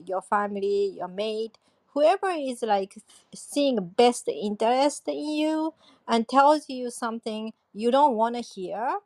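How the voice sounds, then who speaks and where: A woman's voice: fairly high pitch, a little mellow, no room sound. A woman sharing advice in a casual chat. She searches for her words and uses fillers along the way. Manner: searching, hesitant phrasing with fillers.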